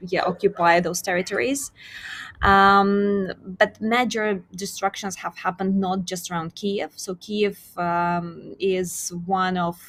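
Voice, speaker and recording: A woman from Ukraine giving a webinar presentation as a guest lecturer, earnest and thoughtful, with many pauses and fillers, over a video call. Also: fairly low pitch.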